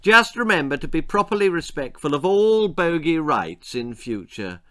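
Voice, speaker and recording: A man voicing a smug, squeaky little bogeyman creature who lectures insistently in a children's storybook. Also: big pitch swings, moderate pace, bright, forceful tone, no echo, clean studio sound. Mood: polite, firm.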